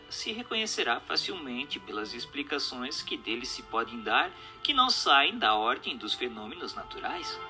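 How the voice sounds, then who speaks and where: A man's voice: fairly high pitch, pushed and bright. A man giving a formal scripted narration, reading from a book.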